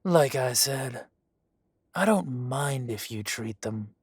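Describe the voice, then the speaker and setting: A menacing dragon gang boss, voiced by a man for an audio roleplay. He talks softly and condescendingly at a very slow, deliberate pace, sometimes dropping to a whisper. The mid-pitched voice is close-miked and completely dry. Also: expressive, slightly rough, dry recording, exaggerated voice. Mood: silky menace. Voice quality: soft-spoken, silky and condescending, drops to whispers. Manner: slow, deliberate threats with long pauses.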